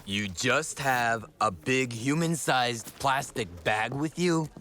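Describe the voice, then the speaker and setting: A man's voice: mid-range voice, takes it slowly, pushed and bright, a little hiss or hum. A man playing a skeptical, easygoing sidekick in an audio drama, with a wry, joking delivery.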